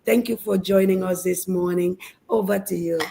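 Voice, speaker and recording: A woman with an average-pitched voice speaks as co-host of a livestream. Her delivery is casual, like an informal introduction. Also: steady tone, moderate pace, vocal fry, warm tone.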